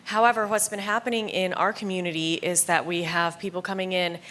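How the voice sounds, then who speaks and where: Woman panelist, low voice, halting delivery full of "uh"s; conference room talk. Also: steady tone, a bit bright. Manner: hesitant, many fillers. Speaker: bookseller panelist.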